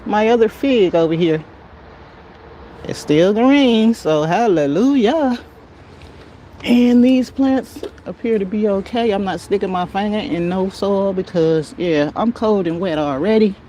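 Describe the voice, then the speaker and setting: A woman's voice: medium pitch, measured pace, dark tone, lo-fi sound, a little hiss or hum. An American woman chatting casually on a garden livestream, folksy, often muttering to herself and her plants, recorded on a phone outdoors. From United States. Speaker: garden vlogger, livestream host. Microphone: handheld phone.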